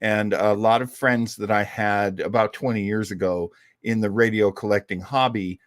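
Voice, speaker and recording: A man's voice: lowish voice, fairly even intonation, moderate pace, no echo, clean studio sound. A man hosting an online show with casual, rambling talk. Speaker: host of an old-time radio show online.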